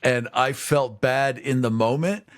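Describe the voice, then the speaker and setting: A man's voice: medium pitch, measured pace. A man co-hosting a podcast, easygoing and self-deprecating, laughing often in casual chat. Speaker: podcast co-host and player. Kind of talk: casual banter.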